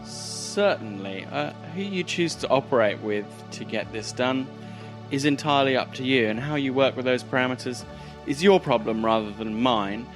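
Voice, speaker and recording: A man's voice: medium pitch, moderate pace, music in the background, lightly acted. A man voicing an imperious female crime boss in a tabletop game, clipped, formal and commanding. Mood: stern, businesslike. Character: imperious female crime boss, coolly businesslike, politely demanding.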